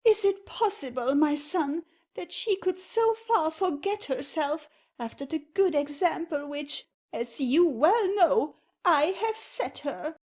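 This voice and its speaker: A woman's voice: high voice, expressive, slow pace, few pauses, darkish tone. An actress playing a haughty noblewoman and strict mother-in-law in a theatrical comedy audio play, imperious and grandly declamatory. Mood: indignant.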